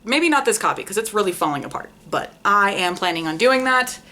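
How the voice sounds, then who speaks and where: A woman's voice: medium pitch, lively intonation, a little fast, bright, forceful tone. A young adult woman chatting casually as a book vlogger, rambling and self-deprecating, with informal language and swearing. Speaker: book vlogger, channel host. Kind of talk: casual book review chat to camera.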